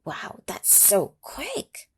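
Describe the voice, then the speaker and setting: A woman reading a picture book aloud to children, pausing to ask them questions and sometimes dropping to a whisper. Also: no room sound. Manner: animated, asks the listening children questions; drops into whispers for effect. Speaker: children's story reader. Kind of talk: read-aloud storytime for children.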